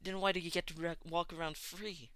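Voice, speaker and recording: A man's voice: on the high side, little pitch movement, brisk, sharp tone, clear, resonant tone, no echo, pitched above the performer's own voice. A man voicing an aggressive, foul-mouthed teenage boy who spits out confrontational insults in an audiobook-style story. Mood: furious.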